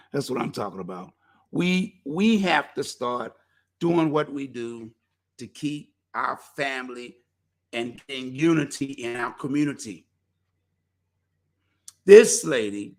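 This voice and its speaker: A gravelly, low-toned man hosts a community talk show by himself. He speaks in a folksy, animated way, rising into preacher-like appeals to his listeners. His voice is getting worn and tired from talking too long. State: losing his voice. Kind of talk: casual solo talk show promotion.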